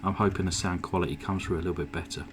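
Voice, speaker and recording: A man with a slightly croaky throat narrating his model car restoration at a home workbench, in a relaxed, chatty way full of "uh" fillers. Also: low voice, very fast, background noise. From England. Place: home workshop. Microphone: desk microphone.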